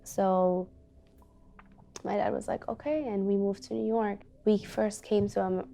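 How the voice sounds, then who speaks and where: A young woman who is a teacher, telling her family's story in an earnest, halting way with many fillers. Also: mid-range voice, fairly even intonation, gravelly, dark tone, slight background noise. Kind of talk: personal storytelling interview. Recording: faint background music.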